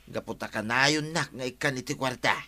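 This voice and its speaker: Bright-voiced henpecked husband played by a man. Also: mid-range voice, fairly quick. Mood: grateful, plaintive. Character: henpecked husband, a hard-drinking village worker. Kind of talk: comedy drama acting.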